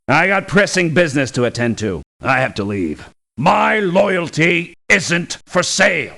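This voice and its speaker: Cocky male game mercenary: bright forceful voice, slightly high, creaky, scornful and emphatic. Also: sing-song, measured pace, full character voice. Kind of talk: video game voice lines.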